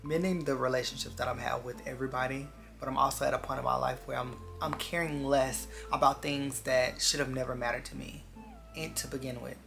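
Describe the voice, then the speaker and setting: Twenties vlogger just talking to camera, confiding and earnest, lots of vocal fry, music underneath. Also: medium pitch, fairly even intonation, a little fast. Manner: earnest, confiding, repeats phrases for emphasis. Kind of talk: casual reflective chat to viewers. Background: background music.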